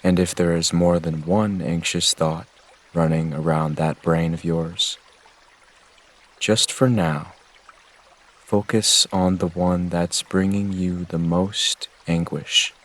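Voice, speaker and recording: A man gently guiding a meditation with measured, soothing instructions. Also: low voice, steady tone. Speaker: meditation guide. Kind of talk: guided meditation narration.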